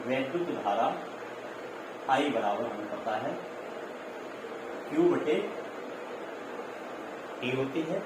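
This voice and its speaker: A man's voice: mid-range voice, animated, slow pace, long pauses. Man lecturing in a very echoey room. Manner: explanatory, repetitive, with check-in tags. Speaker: physics teacher. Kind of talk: classroom lecture mixing in English terms. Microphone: distant microphone. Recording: very echoey, distant mic, clear noise.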